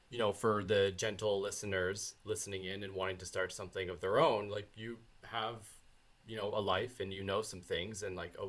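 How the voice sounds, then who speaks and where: Laid-back, low-voiced Canadian man. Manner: relaxed, rambling, with many fillers and restarts. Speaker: podcast guest, bassist. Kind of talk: casual interview chat.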